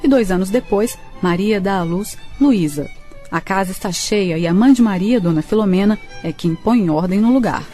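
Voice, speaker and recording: A woman's voice: medium pitch, moderate pace, dark tone, soft background music. A woman with a Brazilian accent narrating a scripted radio drama.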